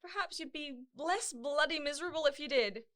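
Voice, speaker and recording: A woman's voice: high voice, fairly quick, fairly bright tone. An amateur actress playing an earnest, determined young woman who organises a community centre, in a radio play. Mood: angry, pleading.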